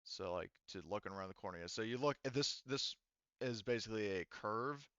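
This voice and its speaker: A man running a tabletop game, narrating off the cuff in a halting, conversational way. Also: lowish voice, fairly bright tone, clean tone, no echo, dull audio. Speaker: game master.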